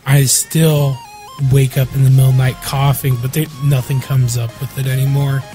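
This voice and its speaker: Husky man, casual crude podcast banter. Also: mid-range voice, level tone, moderate pace, warm tone, soft background music. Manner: crude joking, loose and playful. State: husky, recovering from illness. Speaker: podcast co-host.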